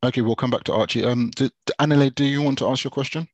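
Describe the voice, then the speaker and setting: A man's voice: medium pitch, steady tone, rapid-fire, no echo, muffled recording. A man moderating an online Q&A who stumbles and repeats his words.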